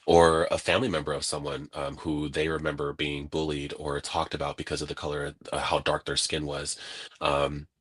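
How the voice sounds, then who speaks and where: A man from California, a guest on a video-call interview, telling stories in a casual, animated way and acting out what people said. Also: a bass voice, slightly rough. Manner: animated, laughing, acts out quoted voices.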